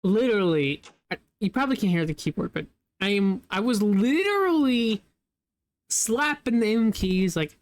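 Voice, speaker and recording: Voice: medium pitch, big pitch swings, moderate pace. A young adult gamer talking loosely over gameplay, rambling, laughing often and swearing casually. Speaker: gameplay video creator.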